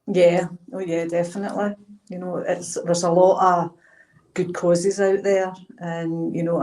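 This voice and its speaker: A woman chats on a panel in a plain-spoken way. Her voice is dark and soft-edged, with little movement in pitch, and her manner stays low-key. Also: rough recording. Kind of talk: casual panel discussion.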